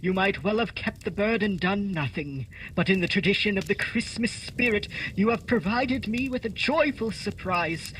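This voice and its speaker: Radio play guest role: male actor as meek courteous gentleman, raised pitch, slight rumble. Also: expressive, moderate pace, few pauses, poor audio. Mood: grateful, earnest. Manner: formal, courteous. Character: humble, grateful, courteous family man.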